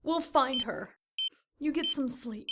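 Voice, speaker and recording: A woman's voice: sits high, lively intonation, slow, heavy background noise, heavily acted. A woman playing a caring, protective family member with an anxious edge in an audio drama. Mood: gentle, coaxing.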